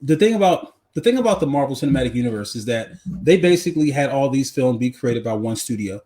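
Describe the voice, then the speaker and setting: A man's voice: medium pitch, expressive, fast, dark tone. A man co-hosting a podcast in casual, slangy conversation, often repeating phrases for emphasis. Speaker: podcast co-host. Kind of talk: casual movie chat.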